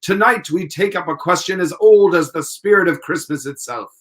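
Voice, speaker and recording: A man's voice: high voice, normal speed, fairly bright tone. A man hosting as a radio announcer, reading a formal scripted introduction in showman-like 1940s style.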